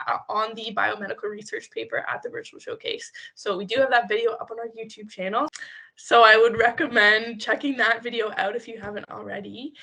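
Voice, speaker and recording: A woman's voice: a little high, bright, forceful tone, low-quality recording. A woman hosting a podcast, asking guests questions in an upbeat, casual way. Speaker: podcast host.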